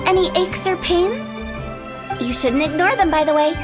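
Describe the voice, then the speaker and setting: A woman's voice: extremely high pitch, animated, moderate pace. A woman voicing a mischievous young funeral parlor director who is playful and cheerfully morbid. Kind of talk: video game voice acting. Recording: game music behind.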